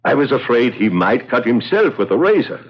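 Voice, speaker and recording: An actor playing a poor, guilt-ridden man confessing in a 1970s radio drama, pleading and excitable as he justifies himself. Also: medium pitch, normal speed, breathy edge. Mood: anguished. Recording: dull, lo-fi old radio recording.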